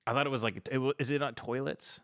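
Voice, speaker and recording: A man's voice: mid-range voice, fairly even intonation, quick pace, fairly bright tone, no echo, clean studio sound. A man hosting a livestream in a casual comedic chat, rambling through irreverent, profane stories. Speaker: livestream show host.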